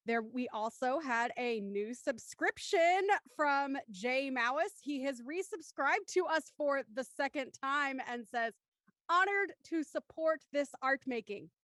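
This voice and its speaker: Lady hosting a live table read, highish voice, politely formal, super dry audio. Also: a bit bright, dry recording. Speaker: host and stage-direction reader of live show. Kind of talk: live stream hosting and script narration.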